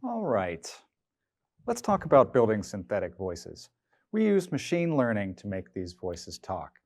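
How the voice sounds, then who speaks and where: A man's voice: fairly low pitch, huge pitch swings, a little slow, no room sound. Middle-aged man, dry studio sound. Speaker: research scientist, presenter. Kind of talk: prepared technical presentation. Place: indoor studio stage. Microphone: clip-on lapel mic.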